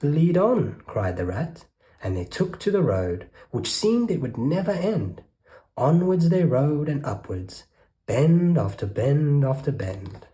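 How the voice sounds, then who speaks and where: A storyteller reading a rhyming children's picture book aloud with rhythmic, expressive delivery. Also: mid-range voice, takes it slowly. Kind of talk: children's read-aloud narration.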